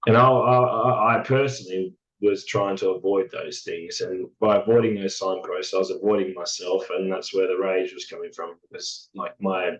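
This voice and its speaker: A man with a hoarse, raspy voice, talking in a halting, reflective way as a podcast guest, full of fillers and restarts. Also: low voice, fairly even intonation, dull audio. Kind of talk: casual reflective chat.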